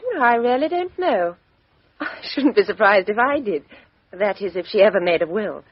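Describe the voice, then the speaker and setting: Expressive, candid young British woman. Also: medium pitch, normal speed, husky, no echo. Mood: earnest. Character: frank, self-possessed young British woman. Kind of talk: radio drama acting. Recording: dull, lo-fi radio drama recording.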